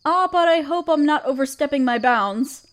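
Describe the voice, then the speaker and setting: A woman playing a gentle, protective half-spider queen in a roleplay audio drama, speaking tenderly and soothingly to the listener. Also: sits high, lively intonation, moderate pace. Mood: happy, caring. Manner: tender, soothing, hesitant and coaxing. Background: chirping crickets.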